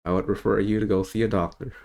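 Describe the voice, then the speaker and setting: Man, 30s, very low voice, casual spiritual-teacher monologue, meandering and relaxed. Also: fast, creaky. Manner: loose and rambling, with easy laughs.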